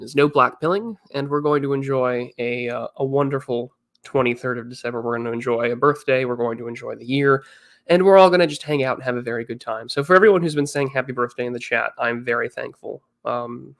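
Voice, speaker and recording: A man's voice: mid-range voice, gravelly, fairly bright tone. A man in his twenties on a casual livestream, chatting and answering viewers, still a little congested. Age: 20s. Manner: chatty, reads chat messages aloud. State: slightly congested. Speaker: streamer.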